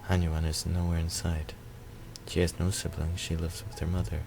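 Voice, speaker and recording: A man reading visual novel narration aloud in a subdued, measured way with pauses. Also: low voice, completely flat delivery, creaky, music in the background.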